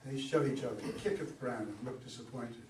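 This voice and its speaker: A man's voice: medium pitch, fast, a little mellow. A man reading stage directions as narrator at a staged play reading. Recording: echoey room, far from mic, background noise.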